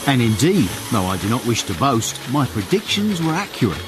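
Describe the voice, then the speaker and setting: A man plays an English footman telling his own story in a radio play. He is wry, mannered and a little nervous, with a mid-pitched voice that moves about a lot, and some music behind. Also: very animated, a little fast, close to an everyday voice. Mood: pleased, wry. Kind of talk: first-person narration and acting in radio comedy-drama.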